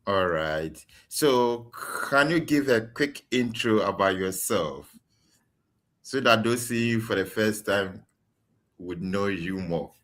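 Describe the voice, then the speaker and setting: Man, bright forceful voice, lively swinging pitch, interview host, casual. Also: takes it slowly.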